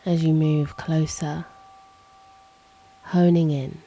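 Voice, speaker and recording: Slow, low-voiced woman guiding meditation. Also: level tone, dark tone, soft background music, poor audio. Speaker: host and meditation guide. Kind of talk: guided meditation, slow and hushed.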